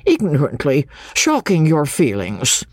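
Village schoolmaster, male acted by a narrator, fussy and uneasy, formal protests, lively pitch, husky, dry storybook recording. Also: measured pace, no room sound, exaggerated voice. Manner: formal, remonstrating. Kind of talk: audiobook character dialogue.

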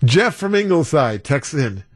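A man with an American accent bantering as a radio host. His voice sits a bit high and moves around a lot in pitch, with a close, dry studio sound. He is playful and wry in delivery. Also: very animated, unhurried, dry recording.

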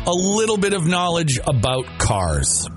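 A man's voice: a little high, sing-song, unhurried, soft background music. An American man hosting a morning radio show with casual, folksy banter, playfully repeating himself for emphasis. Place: radio studio.

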